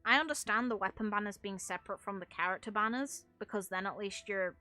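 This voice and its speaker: A woman's voice: mid-range voice, fast, sharp tone, soft background music. A woman doing casual, rambling gaming commentary in a frank, casually sweary way, like a streamer talking to viewers. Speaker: game streamer.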